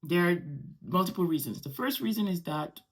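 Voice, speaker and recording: A middle-aged woman who grew up in Morocco speaks in a low-pitched voice. French is her native language. She hosts in a casual, conversational vlog style, building her points with repeated phrases. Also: animated, moderate pace, slightly rough. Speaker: host.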